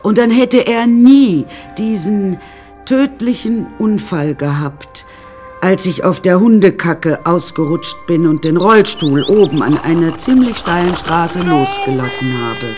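A sardonic, much-widowed woman in a radio drama. She tells of her life with flippant, deadpan coolness and bristles when challenged. Soft music lies behind her voice. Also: fairly low pitch, a little slow. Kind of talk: radio drama narration and dialogue.

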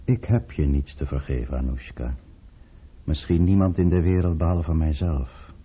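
An actor playing a coolly calculating count and conspirator in a radio drama, speaking formally and deliberately with authority. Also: male voice, extremely low pitch, husky. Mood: resigned. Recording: muffled old radio play recording.

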